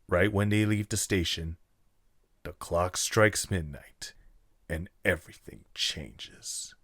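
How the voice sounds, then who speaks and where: Low-voiced man narrating a story. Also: slow pace, a bit bright, no room sound, studio quality.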